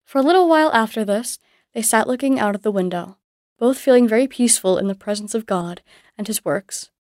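Female storybook narrator, raised pitch, measured scripted read with careful diction, very dry sound. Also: a little mellow, no echo.

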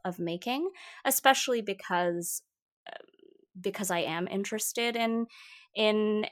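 Theatre-artist interview guest: a woman whose mother tongue is Mandarin, reflective and unhurried, restarting phrases as she thinks. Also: medium pitch. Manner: thoughtful, with frequent fillers and repeated words. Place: Vancouver, Canada.

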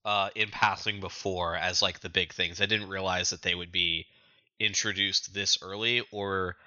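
A man with a low, very sharp, bright voice co-hosts a casual recap podcast. He tells the story informally and with some animation. The sound is dry and close, though a little dull and lo-fi. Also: fairly even intonation, moderate pace, dry recording. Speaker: podcast co-host. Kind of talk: casual chat recapping manga chapters.

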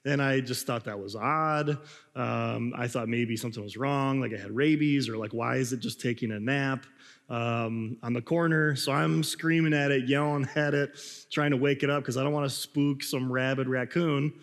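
A young man preaching a casual, rambling sermon, his voice slightly raspy as he recovers from losing it. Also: mid-range voice, steady tone, moderate pace. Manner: rambling, self-deprecating, frequent fillers and laughs. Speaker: pastor. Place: church hall. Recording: reverberant hall.

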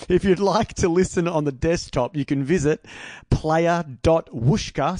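A man's voice: on the high side, a little mellow, no room sound, clean studio sound. A man with an Australian accent hosting a podcast, speaking in an informal, matey way. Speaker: podcast host, interviewer. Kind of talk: casual interview chat.

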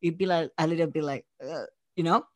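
A man's voice: on the high side, fairly quick, a bit bright, no room sound, studio quality. A man from Spain with a Spanish accent, chatting casually as an interview guest and acting out his own thoughts as he goes. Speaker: interview guest, audio drama creator.

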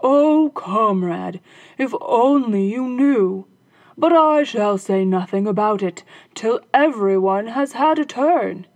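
A woman doing a loud, cross robber captain. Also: medium pitch, steady tone, measured pace, voice pushed down, close to an everyday voice. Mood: boastful.

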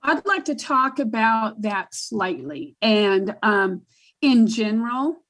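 Casting: woman with a big booming voice, pitched a bit high, emphatic panel guest. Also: animated, slow, a bit bright. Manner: emphatic, repeats herself while thinking. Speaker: guest advocate. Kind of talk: panel discussion.

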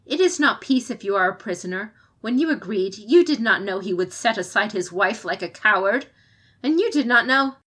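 A woman reading the lines of an indignant, passionate female advisor in an audiobook, rising in loudness as she argues. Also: medium pitch, expressive, normal speed. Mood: impassioned.

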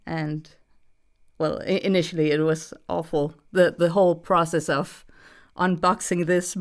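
A woman's voice: on the low side, clean tone. A young adult woman with a Danish accent, a voice actress talking as a guest, hesitant with many fillers and frequent laughs. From Denmark. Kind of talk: casual interview chat.

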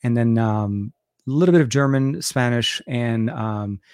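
A man with a Lebanese accent hosts a relaxed live Q&A, answering viewers' questions as they come in. He talks in a loose, rambling way and often restarts his sentences. His voice sits at an ordinary pitch and sounds dry and close to the mic. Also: measured pace, no room sound. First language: Arabic. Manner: rambling, many fillers and restarts. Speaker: tech channel host answering live chat. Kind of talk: casual live Q&A.

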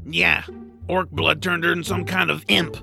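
A woman as a gravelly, cranky troll man. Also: fairly low pitch, normal speed, soft background music, exaggerated voice. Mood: grumbling. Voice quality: gravelly, creaky. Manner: excitable, grumbling, theatrical. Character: cranky eccentric male troll, a swamp cook. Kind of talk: family audio drama character acting.

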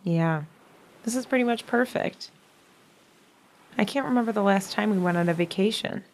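A young American woman playing a frail, weary younger sister, gentle but frank, in a modern audio drama. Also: medium pitch, normal speed, a little hiss or hum, lightly acted.

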